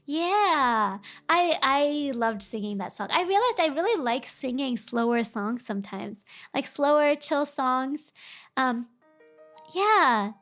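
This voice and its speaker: A woman's voice: high-pitched, animated, takes it slowly, a bit bright. A woman VTuber chatting casually on a stream, with a slightly broken voice and a rambling, chatty way of talking. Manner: rambling, chatty, answers chat. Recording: faint music behind.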